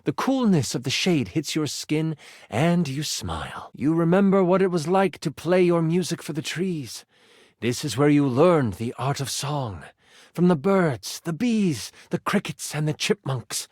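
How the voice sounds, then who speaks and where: A personified gaming die who runs a fantasy tabletop adventure with dramatic, playful flair. The pitch sits fairly high and swings widely, in a close, dry video game recording. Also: male voice, sing-song, unhurried, darkish tone, no echo. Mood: hushed, atmospheric. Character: excitable male D20 die personified as dramatic game master. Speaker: game master narrator. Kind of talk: theatrical tabletop fantasy storytelling.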